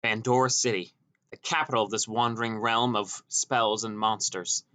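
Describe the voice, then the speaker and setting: A man's voice: fairly low pitch, steady tone, normal speed, bright, forceful tone, clean tone. A man reading a scripted first-person story as an audiobook narrator.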